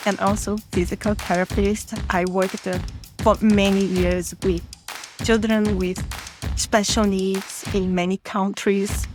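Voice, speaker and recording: A woman from Brazil with a Brazilian accent and Portuguese as her native language, talking conversationally as a guest in an interview. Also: medium pitch. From Rio de Janeiro, Brazil. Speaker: podcast guest, author and podcaster.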